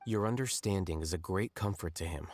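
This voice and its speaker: An actor playing an aloof, rational young scholar in a video game, formal, measured and blunt. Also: male voice, low voice, dry recording. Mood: composed. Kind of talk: video game character acting.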